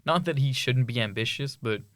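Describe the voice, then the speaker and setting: A man's voice: medium pitch, lively intonation, fairly quick, a bit bright, no echo, clean studio sound. A young man co-hosting a student podcast, chatting eagerly about music with frequent restarts and laughs. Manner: enthusiastic, laughs often, many restarts. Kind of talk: casual chat about music.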